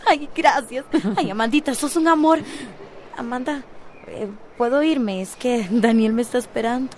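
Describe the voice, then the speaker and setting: A woman's voice: fairly high pitch, measured pace, darkish tone, slight background noise, close to an everyday voice. A woman playing a sweet, eager teenage girl with a Costa Rican accent in a radio soap, girlish and effusive. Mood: relieved, cheerful. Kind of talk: radio soap opera acting.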